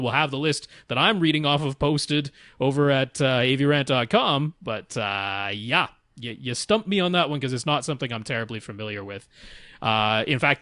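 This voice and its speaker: A man's voice: medium pitch, a bit bright, no echo, studio quality. A man co-hosting a podcast, giving casual tech advice in a candid, hesitant, self-deprecating way. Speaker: podcast co-host.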